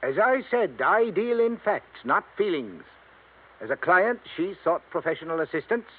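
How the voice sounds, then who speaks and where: An actor playing a formal, guarded older lawyer in a 1950s radio drama, clipped and precise. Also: male voice, high voice, sing-song, moderate pace. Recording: tinny lo-fi 1950s radio broadcast.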